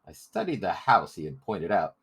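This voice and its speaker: A man's voice: sits low, huge pitch swings, normal speed, a bit bright, husky. A man narrating a story as a scripted audiobook reading.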